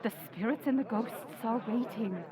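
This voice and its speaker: Woman acting imperious high-voiced society hostess. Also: moderate pace, a loud crowd, close to an everyday voice. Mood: bossy, fussy. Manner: imperious, theatrical, grandly intoning. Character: bossy, pompous society hostess playing amateur medium. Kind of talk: old-time radio drama acting. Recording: echoey hall, live audience behind.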